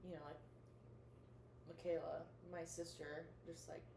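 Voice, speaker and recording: A young woman as a podcast guest, giggly and relaxed, talking in halting phrases. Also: deep voice. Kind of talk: casual chat. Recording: echoey room with faint noise.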